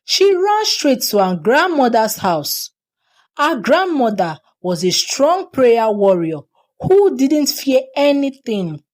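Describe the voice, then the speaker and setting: A woman tells a folktale in an expressive storytelling style. Her voice sits fairly high and moves widely in pitch, and she takes her time over each phrase. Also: slow pace.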